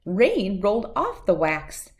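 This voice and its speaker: A middle-aged woman reads a children's picture book aloud at a medium pitch. Her delivery is measured and follows the rhyme with a sing-song lilt. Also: wildly swooping pitch.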